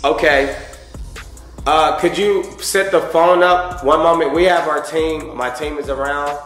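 A young man making a casual prank phone call as host, talking in a halting, vaguely businesslike way. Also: fairly high pitch, steady tone, fairly bright tone, roomy sound, faint music behind. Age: young adult. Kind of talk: casual prank call posing as a booking agent. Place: wood-panelled room indoors.

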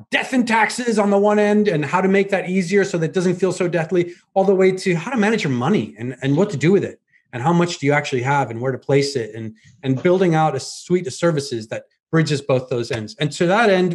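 A man's voice: on the high side, a little fast. A man in his forties hosting a podcast on a video call, upbeat, with long run-on questions. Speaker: podcast host. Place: home room indoors.

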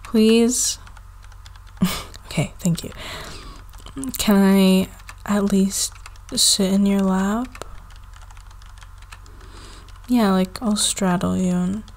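A woman playing a needy, affectionate young girlfriend in an intimate ASMR roleplay, with a soft, halting, pleading delivery. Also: mid-range voice, little pitch movement. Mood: coaxing.

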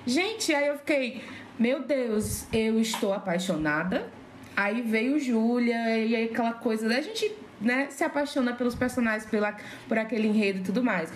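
A woman's voice: a little high, lively intonation, moderate pace. A woman with a Cearense Brazilian accent chatting casually and enthusiastically as a podcast guest. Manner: gushing, enthusiastic. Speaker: podcast guest, slam poet. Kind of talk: casual chat. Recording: roomy echo, faint background noise.

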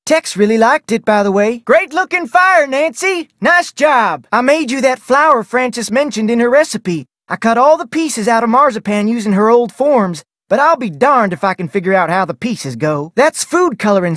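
An actor plays a folksy Western ranch cook for a mystery game. The delivery swings up and down in pitch, full of homespun phrasing, on a dry studio recording. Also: expressive, normal speed, hardly any pauses, a bit bright, no room sound, natural voice. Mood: chipper, helpful. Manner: folksy, colloquial Western talk. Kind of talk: video game character voice lines.

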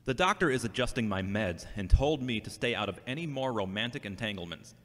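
A man's voice: on the low side, animated, fairly quick, a bit bright. A man playing an earnest, devout, searching younger brother who questions himself, in an amateur audio drama. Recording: reverberant hall-like sound.

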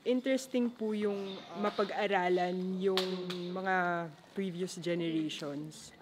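A woman's voice: lowish voice, fairly even intonation, unhurried, crowd noise. A young woman with a Filipino accent, speaking casually as a team member in an interview. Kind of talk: casual spoken introductions and interview answers. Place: cave excavation site. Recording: voices of busy dig site.

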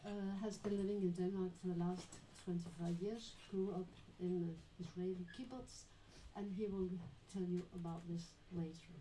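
A woman moderating a live panel talk, introducing guests and asking questions in a measured, explanatory way with many pauses. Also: fairly low pitch, steady tone, warm tone, a little hiss or hum. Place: small dim venue with live audience. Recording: echoey room recording.

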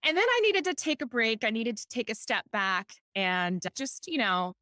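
A middle-aged woman with a slightly high voice tells stories in a casual, chatty, enthusiastic way. The recording is close and dry, like a podcast guest's. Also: very animated, normal speed, pushed and bright, no echo. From Honolulu, Hawaii. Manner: chatty and enthusiastic, laughs often. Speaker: podcast guest, entrepreneur and author. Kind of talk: casual conversational storytelling.

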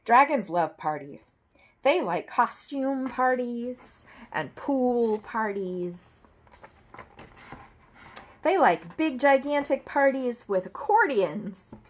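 A woman in her thirties reading a children's picture book aloud at home, expressively, with theatrical emphasis and deliberate phrasing. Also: a little high, animated, a little hiss or hum. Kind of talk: animated read-aloud to children. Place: indoor room at home, seated at a table.

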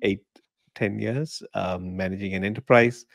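Middle-aged man from Sri Lanka, low and dry, easygoing and laughing podcast guest. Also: measured pace, gravelly, no echo. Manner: relaxed, chatty, laughs often. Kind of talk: casual interview chat. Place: home living room. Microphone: podcast mic in frame, video call.

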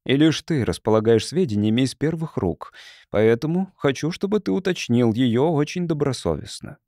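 A man's voice: mid-range voice, animated, normal speed, dark tone, no room sound, studio quality, natural voice. A man voicing a mild, tactful church dignitary in an audiobook, courteous and formal. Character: mild, tactful high church dignitary questioning a young monk.

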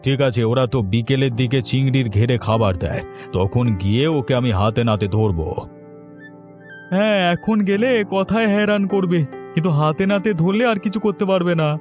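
A man's voice: mid-range voice, lively intonation, a little fast, a little mellow, natural voice. A man playing a dutiful, authoritative village police officer in a Bengali cartoon, speaking in a self-assured, official manner. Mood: resolute. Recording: music behind the voice.

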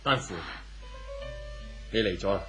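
Soft romantic young hong kong cantonese guy acted, kinda low voice, old radio drama, music behind. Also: measured pace, close to an everyday voice. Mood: tender, wondering. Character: tender, subdued young man in love. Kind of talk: 1970s radio drama acting.

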